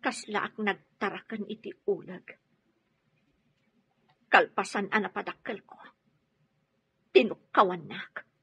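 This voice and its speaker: An actress playing a hurt, resolute pregnant wife in a radio drama, speaking emphatically with an aggrieved manner. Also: female voice, medium pitch, takes it slowly, pauses often, a bit bright, breathy edge, no echo, full character voice. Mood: bitter. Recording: dull lo-fi radio drama audio.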